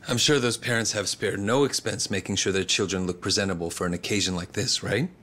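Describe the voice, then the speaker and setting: An actor playing a composed, self-assured male politician in a video game, speaking in measured, polite phrases. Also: sits low, fairly bright tone. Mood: composed, confident. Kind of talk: English video game voice acting.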